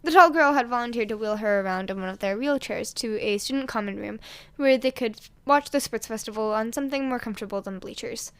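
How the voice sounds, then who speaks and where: Higher-pitched woman reading a story. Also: brisk, clear, resonant tone. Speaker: narrator, amateur audiobook reader. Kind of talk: expressive fan fiction story reading.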